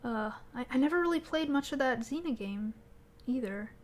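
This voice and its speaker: A woman co-hosting a podcast, chatting casually about video games in an animated, expressive way. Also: high-pitched, normal speed. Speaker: podcast co-host.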